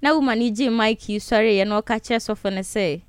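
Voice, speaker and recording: Brief: Ghanaian woman caller, higher pitch, smooth clear voice, dry sound, lively. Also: moderate pace, no echo. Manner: lively, laughs and exclaims. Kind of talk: animated chat mixing Twi and English.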